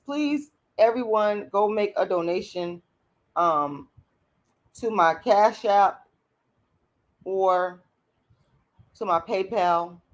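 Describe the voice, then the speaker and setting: Hoarse, blunt woman streaming to viewers. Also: medium pitch. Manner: blunt and emphatic, addresses viewers as y'all. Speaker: channel host. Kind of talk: late-night livestream monologue.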